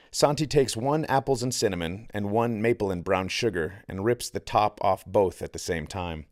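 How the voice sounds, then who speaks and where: A man's voice: deep voice, no room sound, studio quality. A man reading their own novel aloud as scripted audiobook narration.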